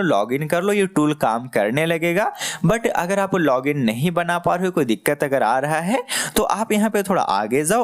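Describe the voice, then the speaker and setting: A man with an Indian accent hosting a casual step-by-step software tutorial, explaining directly to the listener and checking they follow. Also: on the high side. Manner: explanatory, addresses the listener, often checks understanding. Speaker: tutorial host.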